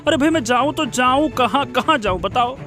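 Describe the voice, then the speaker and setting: A man voicing a weary, exasperated village farmer husband in an animated story, pleading in a melodramatic way. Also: extremely high pitch, normal speed, music in the background.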